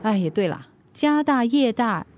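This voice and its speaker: Cheerful, high-voiced Singaporean delivery rider. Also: female voice, animated, a little slow, a little mellow, slight background noise. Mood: upbeat. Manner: chatty, mixes English words into Mandarin. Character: cheerful helpful food delivery rider, a mother. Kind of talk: naturalistic Mandarin radio drama acting.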